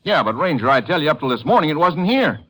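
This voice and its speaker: A man's voice: a little high, big pitch swings, fast talker, a bit bright. An American man playing an indignant, folksy rural Texan protesting his innocence in a 1950s radio western. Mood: defensive, insistent. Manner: folksy, defensive. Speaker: supporting actor in a radio drama. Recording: vintage 1950s radio recording.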